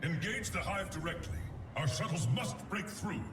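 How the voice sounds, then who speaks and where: An actor playing a stern alien warrior commander in a video game, giving formal, urgent orders. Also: male voice, high-pitched, lively intonation, moderate pace. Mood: urgent, resolute. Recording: echoing, distant voice over music.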